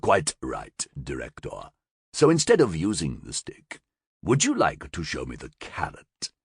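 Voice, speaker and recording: A man voicing a gurgling, fanged half-animal male monster, held prisoner and speaking in a taunting, sardonic, drawn-out way. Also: rumbling low, wildly swooping pitch, breathy edge, no room sound, studio quality, exaggerated voice.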